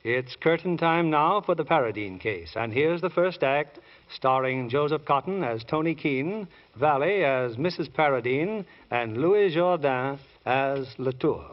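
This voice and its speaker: Higher-pitched male vintage radio announcer. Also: expressive, a little slow. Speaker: announcer and narrator. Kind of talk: 1940s radio scripted announcing. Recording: muffled old radio recording. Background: faint music.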